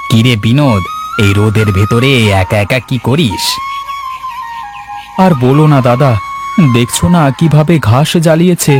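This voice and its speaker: Guy acting a village farmer, middle pitch, expressive everyday talk, cartoon folk story, music behind. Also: moderate pace, pauses often, close to an everyday voice. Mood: chatty. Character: male villagers: plain farmers, husband, scheming rival. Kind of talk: cartoon fairy-tale voice acting.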